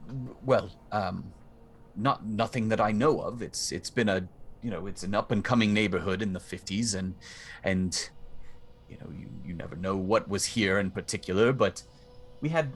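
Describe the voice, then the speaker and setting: A man playing an older homeowner who speaks formally and hesitantly, with many ums and restarts. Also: fairly low pitch. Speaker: game master.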